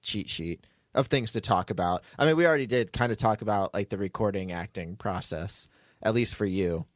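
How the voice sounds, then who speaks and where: A man's voice: fairly low pitch, brisk, no echo. A man hosting a podcast in casual, joking chat, with a dry, teasing manner, close to the microphone. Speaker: podcast host, audio drama writer and producer.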